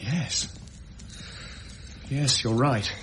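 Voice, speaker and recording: An actor playing a frightened, awestruck gentleman in a supernatural period audio drama. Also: medium pitch, extremely slow, slight background noise. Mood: hushed dread. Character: frightened, awestruck English gentleman witnessing a ghost.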